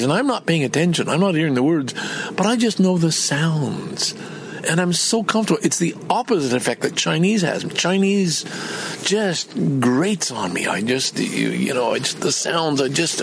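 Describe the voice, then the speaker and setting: A man's voice: fairly high pitch, lively intonation, normal speed, creaky, slight background noise. An older man from Canada in a rambling personal vlog, meandering and chatty with many restarts. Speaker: vlogger.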